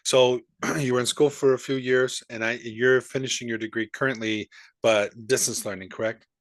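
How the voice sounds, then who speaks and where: A friendly male host leads a relaxed interview, upbeat and chatty, often restarting his sentences. His voice has a middle pitch. The sound is dry and close, with no room around it. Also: moderate pace, no echo. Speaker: podcast host. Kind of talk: casual interview chat.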